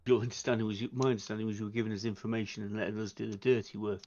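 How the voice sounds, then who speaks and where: A man playing a wary, diplomatic male vampire who leads his group in a tabletop game, speaking in a measured, formal way. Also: fairly low pitch, flat delivery, a little mellow. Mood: wary, firm. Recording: slightly dull audio, music behind.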